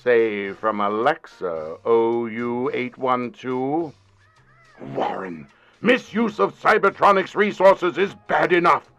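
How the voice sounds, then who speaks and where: An actor playing a stern, pompous, irate boss with an emphatic delivery in a radio comedy. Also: male voice, mid-range voice, animated, takes it slowly, fairly bright tone, dry recording, lo-fi sound, soft background music. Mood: stern, accusing. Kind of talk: modern revival of old-time radio comedy drama acting.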